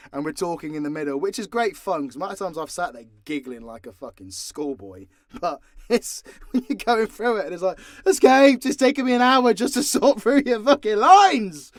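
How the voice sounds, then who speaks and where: A man's voice: high voice, animated, moderate pace, no room sound, studio quality. A British man casually answering fan questions off the cuff, sweary and joking with mock exasperation. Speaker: audio roleplay creator and voice actor.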